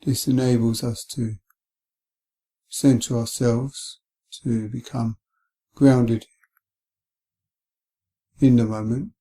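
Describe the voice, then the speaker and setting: Male guided-meditation voice, soft-edged and relaxed, calm and unhurried, flat melody. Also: mid-range voice. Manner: gentle, calm, unhurried with long pauses. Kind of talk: guided body scan meditation.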